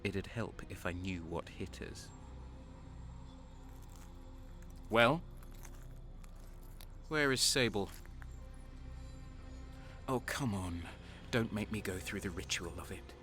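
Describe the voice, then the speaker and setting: An actor playing a bitter, sardonic renegade soldier, narrating and speaking in a dark sci-fi audio drama with a brooding, cynical manner. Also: male voice, on the low side, slow, pauses often, music in the background. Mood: cynical, needling.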